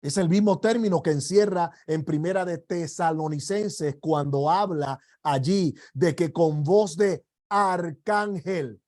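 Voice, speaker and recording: Man, sermon, emphatic declarations, drawn-out delivery, high voice. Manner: slow, emphatic preaching with rising shouted declarations. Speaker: apostle teaching a ministry class.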